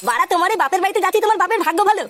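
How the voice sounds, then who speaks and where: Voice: very high-pitched, racing, very noisy. A performer voicing a brash, foul-mouthed young Bengali troublemaker in comic cartoon dialogue, coarse, slangy and combative. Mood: boastful, scornful.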